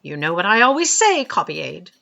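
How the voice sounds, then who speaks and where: A woman's voice: on the low side, very animated, brisk, creaky, bright, forceful tone, muffled recording, exaggerated voice. A woman playing an imperious female boss, pompous and dryly authoritative, in a comic sci-fi audio drama. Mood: blithe, pompous. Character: brisk, imperious female boss, blithe about doom.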